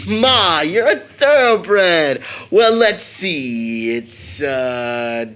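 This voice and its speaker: An actor playing a proud, teasing teenage son in an audio drama of an early 1900s play, with an American accent and a theatrical, boyish manner. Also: male voice, fairly high pitch, sing-song, very slow, fairly bright tone, exaggerated voice. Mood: teasing, playful. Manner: theatrical, jokingly pompous with slangy boyish talk.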